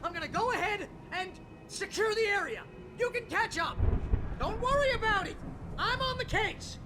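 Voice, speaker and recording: An excitable male sidekick from New York in a video game, loyal but full of griping and wisecracks. The voice rides high for a man and jumps around in pitch with animated bluster. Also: normal speed, noisy background. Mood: loud bravado. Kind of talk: comic-relief video game dialogue.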